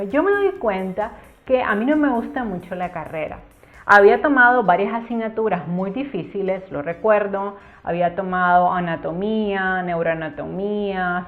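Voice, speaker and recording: A young woman from Cuba shares a personal story as a YouTuber in an earnest, explanatory way, leaning on words for emphasis. Her voice comes through narrow and tinny, as if over a phone line. Faint music sits underneath. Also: mid-range voice. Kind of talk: casual storytime vlog.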